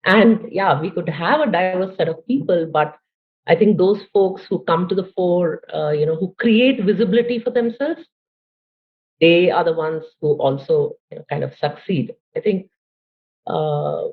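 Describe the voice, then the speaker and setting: A woman's voice: on the low side, moderate pace. A woman in her late forties from India with an Indian accent, speaking thoughtfully as a podcast guest, with frequent "you know" fillers. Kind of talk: conversational interview. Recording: tinny phone-like line.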